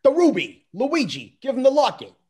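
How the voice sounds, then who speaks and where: A man playing an exasperated, wisecracking plumber in an amateur script read-through, griping sarcastically in a husky, gravelly voice. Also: very high-pitched, huge pitch swings, normal speed, a little mellow, heavily acted. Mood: urgent. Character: exasperated, wisecracking plumber, complaining older brother.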